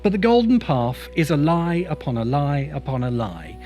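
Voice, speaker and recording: A man's voice: on the high side, sing-song, moderate pace, dark tone. A man delivering scripted video essay narration in an emphatic, opinionated, rhetorical manner. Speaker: host and writer of science fiction video essays. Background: background music.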